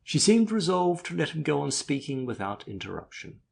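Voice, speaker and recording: A man narrating classic literature as an audiobook, with a husky voice and measured storytelling manner. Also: a little high, very animated, a little mellow.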